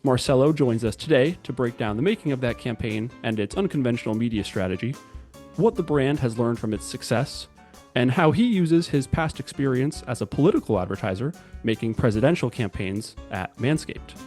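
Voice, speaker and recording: An upbeat male podcast host with a mid-range voice reads his intro in a clear, polished way and then moves on to his questions. Faint music plays softly under his voice. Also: mid-range voice, lively intonation, fairly quick. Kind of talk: scripted intro and interview questions.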